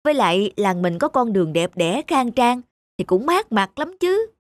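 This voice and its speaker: A woman's voice: fairly high pitch, sing-song, normal speed. An actress voicing a plump older village woman in a cartoon, sensible, frank and chiding. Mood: chiding, matter-of-fact. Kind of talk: animated moral tale voice acting. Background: background music.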